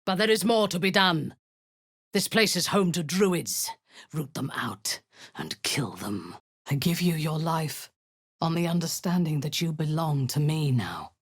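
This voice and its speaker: A woman's voice: deep voice, lively intonation, measured pace, slightly rough, no room sound, clean studio sound, exaggerated voice. A woman voicing a cruel, zealous drow warlord in a video game, giving cold commands that often sink into a menacing whisper.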